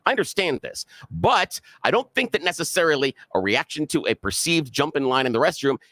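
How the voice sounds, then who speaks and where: A man co-hosting a talk radio show, telling a story in an animated way and acting out the other people's voices. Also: on the high side, a little fast, dry recording. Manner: animated, acts out the quoted voices. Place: radio studio. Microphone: studio microphone.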